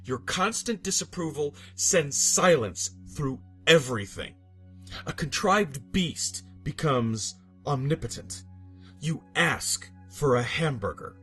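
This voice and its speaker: A man's voice: a little high, lively intonation, slow, bright, forceful tone. A man giving a dramatic scripted narration of absurd fiction, with a theatrical, emphatic delivery. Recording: music bed behind narration. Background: background music.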